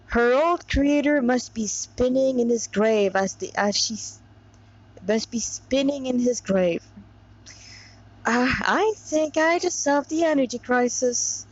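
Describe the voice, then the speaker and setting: A woman's voice: a little high, expressive, slow pace. A woman from Montreal reading a story aloud in an informal, rambling way, often breaking off for chatty asides. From Montreal, Canada. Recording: dull lo-fi recording.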